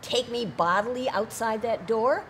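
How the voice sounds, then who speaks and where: An older woman, a protester and videographer, arguing insistently and loudly with security staff at an indoor conference. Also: a little high, unhurried, a little hiss or hum. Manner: insistent, argumentative, loud. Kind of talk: heated confrontation.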